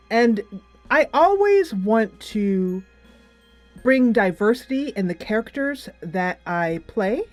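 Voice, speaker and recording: Music plays behind a woman with a mid-range voice. She talks as the host of a casual game review. Her delivery is chatty and emphatic, moving loosely from thought to thought. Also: mid-range voice.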